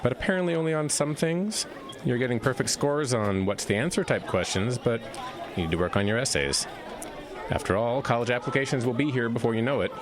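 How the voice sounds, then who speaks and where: A man playing a brisk, businesslike high school teacher in a radio play. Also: lightly acted. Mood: probing, businesslike. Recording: busy crowd noise behind.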